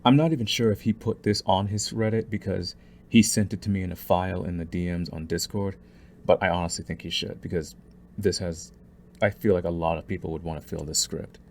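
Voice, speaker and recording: A man's voice: low voice, steady tone, fairly quick, a little mellow, slight background noise. A man who makes audio roleplays, talking to his listeners in an informal, earnest outro. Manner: informal, earnest, says y'all. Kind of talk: casual outro chat to listeners.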